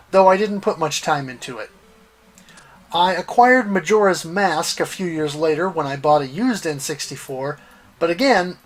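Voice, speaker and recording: A middle-aged man hosting a retro gaming series, reading scripted personal narration with a deliberate, dramatic delivery and emphatic pauses. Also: a little high, rough recording.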